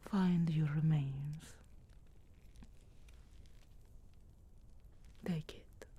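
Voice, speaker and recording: A woman voicing a sarcastic, guardedly caring witch of the wilds in a slow, breathy whisper for an ASMR fantasy roleplay. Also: sits low, dark tone, heavily acted. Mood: teasing, reluctant care. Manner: slow, measured, archly formal phrasing.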